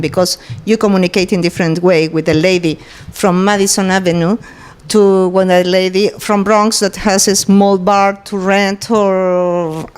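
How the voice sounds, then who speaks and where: Lower-voiced woman, halting English. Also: a little mellow. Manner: halting, searching for English words. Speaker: interviewer. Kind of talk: interview questions.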